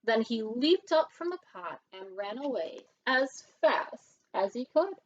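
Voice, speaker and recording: A woman reading a children's story aloud as an expressive narrator. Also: fairly high pitch, unhurried, muffled recording. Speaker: storybook reader.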